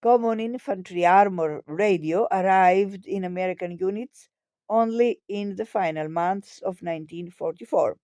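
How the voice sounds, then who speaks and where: A woman reading scripted history narration in a measured, formal manner. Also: mid-range voice, mellow, dry recording, clean studio sound.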